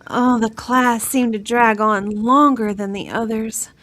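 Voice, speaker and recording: A woman reading a novel aloud in a drawn-out, melodramatic way. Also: a little high, steady tone, fairly bright tone. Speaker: story reader.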